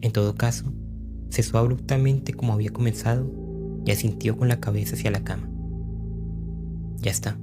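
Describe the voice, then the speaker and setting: Male narrator, lower register, dramatic horror audiobook reading, clear music bed underneath. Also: steady tone, a little fast.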